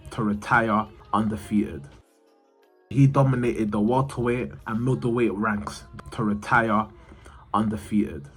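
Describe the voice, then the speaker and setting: Male explainer-video narrator, mid-pitched, reading from a script with the odd stumble, music underneath. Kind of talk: scripted narration, read aloud. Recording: music behind the voice. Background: background music.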